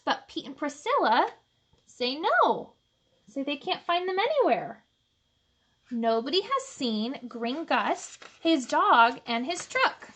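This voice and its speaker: Bright, high-pitched woman reading to kids. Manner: expressive, encouraging, asks the listeners questions. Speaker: storytime host reading picture books to children. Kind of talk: read-aloud with chatty asides to children.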